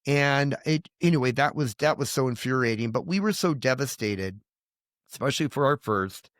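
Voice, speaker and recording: A man's voice: medium pitch, expressive, moderate pace, a bit bright, no echo. A middle-aged man, a pastor, talking earnestly and emphatically about family and loss in a podcast conversation. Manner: earnest, emphatic, grows heated and indignant. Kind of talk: candid testimony in conversation.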